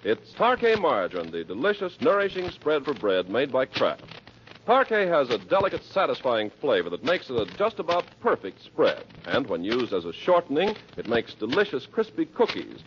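A man's voice: mid-range voice, huge pitch swings, normal speed. A man reading a 1940s radio advertisement as announcer, with polished, emphatic sales delivery. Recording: muffled, noisy vintage radio recording.

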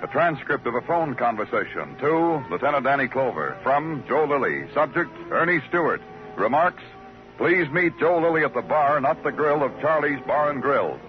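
A dull old radio recording with prominent music features a man acting an American police detective. His medium-pitched voice is wry and probing, in hardboiled radio drama style. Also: sing-song, moderate pace, lightly acted. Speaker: lead actor and narrator.

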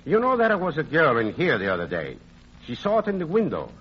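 An actor playing a fond, teasing old European art dealer in an old-time radio drama, speaking expressively and haltingly. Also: male voice, a little high, wildly swooping pitch, slightly rough, full character voice. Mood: gently hinting. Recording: muffled, hissy old radio recording.

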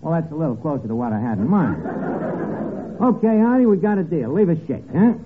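Man playing a brash, high-pitched New barkeeper. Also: fairly quick, natural voice. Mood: haggling, sly. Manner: brash, slangy, mangled grammar, deadpan comebacks. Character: wisecracking, tightfisted lowbrow barkeeper, a man. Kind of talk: 1940s radio comedy acting. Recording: tinny, noisy vintage radio broadcast.

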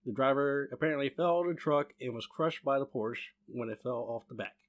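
A man co-hosting a podcast, working through a story with frequent pauses and restarts. His voice sits at a middle pitch for a man, and he slips in dry jokes along the way. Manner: hesitant, many fillers and restarts, dry humor.

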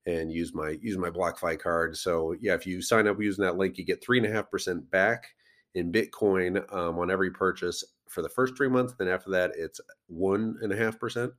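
A man's voice: low voice, moderate pace, fairly bright tone. A man hosting a crypto podcast, rambling casually with many fillers while sick and losing his voice. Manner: rambling, lots of fillers and restarts.

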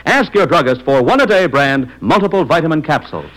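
An American man reading an old-time radio commercial as announcer, in an emphatic, deliberate sales delivery. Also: a little high, lively intonation, a bit bright, low-quality recording.

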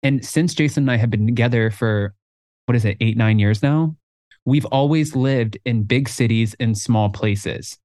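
A man in his twenties co-hosting a podcast in casual chat, talking in an animated way and jumping higher when he quotes himself. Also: no room sound, studio quality. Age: 20s. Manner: animated, jumps up in pitch when quoting himself. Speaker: podcast co-host.

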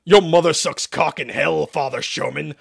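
Man voicing a loud, boastful demon. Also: mid-range voice, unhurried, no echo, clean studio sound. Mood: furious taunting. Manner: menacing, taunting, shouted. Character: roaring, foul-mouthed, boastful male demon possessing a body.

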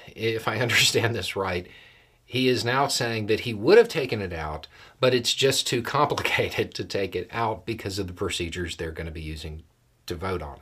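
A man giving casual, explanatory political commentary in a folksy, plain-spoken way, with measured phrasing and deliberate pauses. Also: low voice, fairly bright tone, slightly rough. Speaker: host of a political commentary show.

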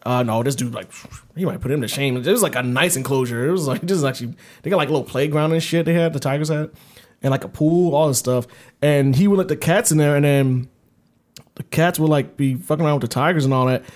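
A man's voice: fairly high pitch, fast, darkish tone. An American man in casual comedic podcast chat as a co-host, telling rambling stories in slangy, profane language.

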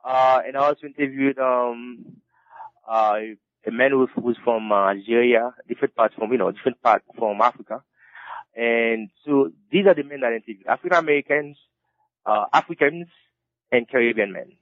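A man, an author being interviewed, who answers haltingly and restarts his phrases again and again. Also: mid-range voice, no echo. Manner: halting, with many repeated restarts. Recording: dull lo-fi dry recording.

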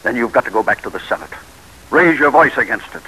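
Urgent, husky political ally. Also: male voice, medium pitch, animated, fast talker, pushed and bright, exaggerated voice, low-quality recording. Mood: urgent, persuasive. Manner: urgent, persuasive. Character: earnest, pressing male political ally urging an old friend. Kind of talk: old-time American radio drama acting.

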